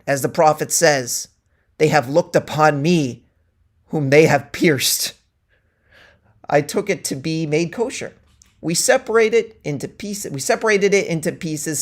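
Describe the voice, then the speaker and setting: Guy doing a dramatic reading of a satire, slow and deliberate, really declaims the quotes. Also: a little high. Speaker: reader.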